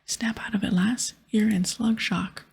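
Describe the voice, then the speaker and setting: A woman voicing a bossy, sarcastic male pirate captain from a game in a breathy whisper. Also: medium pitch, fairly even intonation, unhurried, a little mellow, full character voice. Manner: brusque, sarcastic, teasing. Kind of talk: whispered game dialogue reading.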